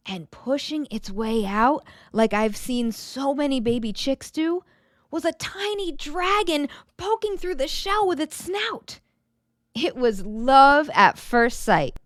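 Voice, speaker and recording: A woman narrating a children's picture book in an expressive read-aloud storytelling style. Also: a little high, no room sound, clean studio sound.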